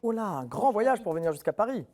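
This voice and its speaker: A man hosting a televised quiz show, reading questions clearly and encouraging contestants in a friendly way. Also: high-pitched, big pitch swings, quick pace, a little mellow.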